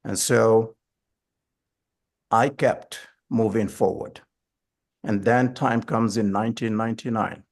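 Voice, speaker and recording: A man's voice: on the low side, fairly even intonation, slow, gravelly, long pauses. A man, answering interview questions as a school board candidate and telling his story earnestly. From Morocco.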